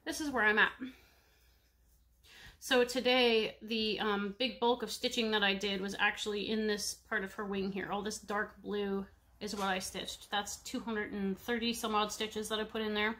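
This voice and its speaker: A woman in her thirties talks to her webcam at home, her nose stuffed up and congested. Her voice is bright and a touch sharp, and she wanders from thought to thought with plenty of fillers. She keeps it friendly and chatty with her viewers. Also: medium pitch, little pitch movement, moderate pace. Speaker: cross-stitch vlogger. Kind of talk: casual show-and-tell chat. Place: home room with bookshelves. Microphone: webcam at desk distance.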